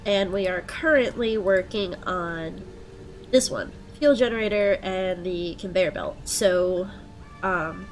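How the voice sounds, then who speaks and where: A young woman with a head cold and a stuffed-up nose, chatting casually through gameplay and thinking out loud with plenty of ums. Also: on the low side, takes it slowly, soft background music, low-quality recording. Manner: relaxed, thinking aloud with frequent ums. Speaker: let's play host.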